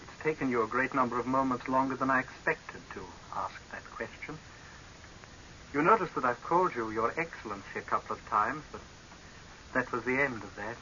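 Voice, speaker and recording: A man performs a young saboteur in an old radio horror play, cold and quietly menacing. His mid-pitched voice has a piercing edge and a deliberate, mocking delivery. The vintage recording is muffled, with light hiss. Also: close to an everyday voice. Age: young adult. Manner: deliberate, measured, sardonic, menacing. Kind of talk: 1930s radio horror drama acting. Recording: hissy, dull 1930s radio recording.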